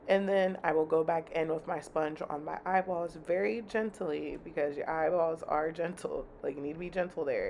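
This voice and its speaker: Chatty young woman with a gravelly, congested voice. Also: fairly low pitch, slight background noise. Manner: chatty, upbeat, slangy. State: congested, slightly raspy. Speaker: beauty vlogger. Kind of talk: casual makeup tutorial chat.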